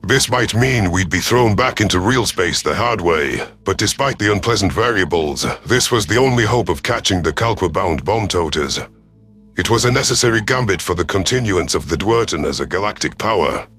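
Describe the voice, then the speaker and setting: A man narrates a science fiction story in the first person. His voice sits on the low side, and he reads from a script as a storyteller. Soft music runs underneath. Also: lively intonation, normal speed. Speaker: narrator of sci-fi audio stories. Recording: music behind the voice.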